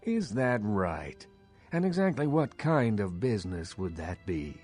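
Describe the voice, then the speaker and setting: Imperious, courtly vampire baron. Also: male voice, on the low side, big pitch swings, measured pace, a little mellow, soft background music. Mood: sardonic. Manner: formal, imperious, sardonic. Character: proud, courtly vampire baron scornful of rivals. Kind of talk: dramatic video game acting.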